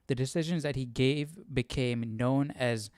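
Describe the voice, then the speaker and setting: Clear, close-sounding man thinking aloud. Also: medium pitch, a little slow, darkish tone, no room sound. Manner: questioning, thinking aloud, often seeking agreement. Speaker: host of a livestream Bible study. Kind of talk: reading aloud with casual off-the-cuff commentary.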